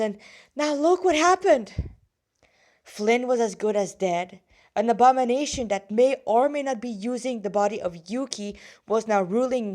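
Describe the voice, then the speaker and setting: A woman reads her own written story aloud in an informal, amateur manner. Her delivery is halting, with stumbles over words and quick self-corrections, and she slips between narration and casual remarks of her own. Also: on the high side, a little mellow. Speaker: fan fiction writer reading own story.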